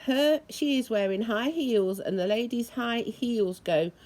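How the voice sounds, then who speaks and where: A woman reading a children's story aloud in a deliberate, expressive way, imitating the sounds it describes. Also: fairly high pitch. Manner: deliberate, acts out noises expressively.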